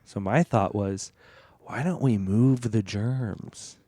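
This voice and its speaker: A man co-hosting a comedy podcast, chatting casually and deadpan through absurd bits. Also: on the low side, slow pace, no echo.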